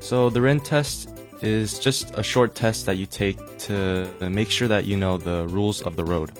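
A young man co-hosting a casual podcast, explaining things in an easygoing, relaxed way with a light music bed behind. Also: lowish voice, normal speed. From United States. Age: young adult. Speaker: co-host. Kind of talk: casual bilingual podcast chat.

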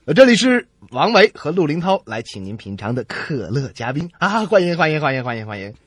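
Male comedy co-host, raspy-edged voice, bouncy intonation, playful joke delivery, close and dry. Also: on the high side, sing-song, fairly quick, dry recording. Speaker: comedy radio show co-host. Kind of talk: playful scripted joke-telling banter.

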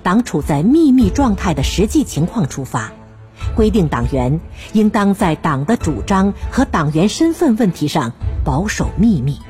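Female narrator: lowish, warm tone, solemn documentary read with expressive melody. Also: normal speed, soft background music. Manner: formal, solemn.

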